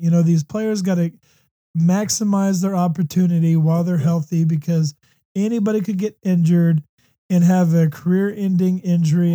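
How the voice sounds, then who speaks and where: A hoarse, slightly congested man from the United States hosting a faith talk show, speaking earnestly with many ums and restarts. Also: a little high, dark tone. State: hoarse with a runny nose. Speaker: podcast host.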